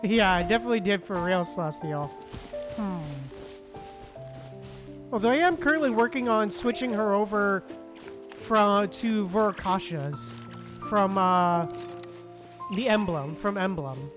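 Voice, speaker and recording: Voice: medium pitch, a little slow, pauses often. A game streamer giving casual live commentary, repeating phrases and muttering with offhand swearing. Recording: game music behind the voice. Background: game music.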